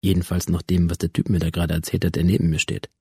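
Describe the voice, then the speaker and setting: An actor playing a detached, sardonic teenage loner who narrates his thoughts in a deadpan, laconic way for a radio drama. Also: low voice, fast talker, breathy edge, no echo, studio quality, exaggerated voice. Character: dead teenage school shooter's digital memory copy, detached, sardonic loner. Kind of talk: radio drama, first-person inner narration.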